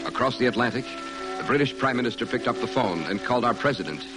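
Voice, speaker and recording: Male narrator, 1940s radio drama, middle pitch, solemn, dramatic, very loud music. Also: animated, fast talker, poor audio.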